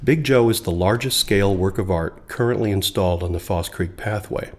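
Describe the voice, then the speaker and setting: A man reading scripted documentary narration in an even, informative way. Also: on the low side, fairly quick.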